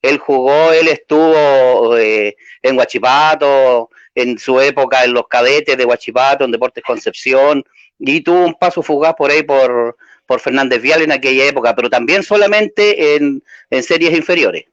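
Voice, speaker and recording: A man's voice: mid-range voice, moderate pace, no echo. A man from Chile with a Chilean accent, a guest in a casual interview, hesitant and full of fillers. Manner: hesitant, many fillers, slightly nervous. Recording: dry lo-fi sound.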